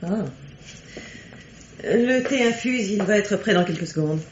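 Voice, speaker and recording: An actress playing a guarded, formally polite bourgeois wife and hostess in a radio mystery. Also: female voice, medium pitch, animated, a little slow, close to an everyday voice. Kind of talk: old French radio mystery play acting. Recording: muffled, echoey, noisy old radio recording.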